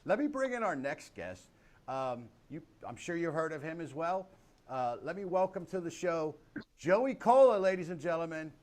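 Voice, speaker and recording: A man's voice: fairly high pitch, big pitch swings, moderate pace, a little mellow. An older man hosting a comedy talk show over a video call, giving upbeat, emphatic introductions.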